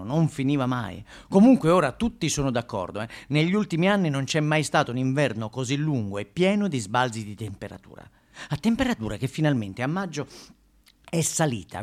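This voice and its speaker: Casting: folksy male bar owner acted by a man, mid-pitched, conversational stage storyteller, chatty, talks directly to the listener. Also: lightly acted. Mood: amiable, storytelling. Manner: chatty, addresses listeners, rhetorical questions and colloquial asides. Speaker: stage actor performing a monologue. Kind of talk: theatrical monologue in conversational storytelling.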